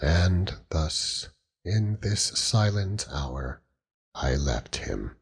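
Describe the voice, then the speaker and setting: A man's voice: low voice, animated, very slow, gravelly, husky. A man narrating a classic novel as an audiobook, in a dramatic literary reading style.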